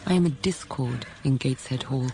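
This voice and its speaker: An actress playing a grave woman narrating her bleak childhood in a literary drama, in measured, sombre short phrases. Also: extremely low pitch, gravelly, noisy background. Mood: bleak, brooding.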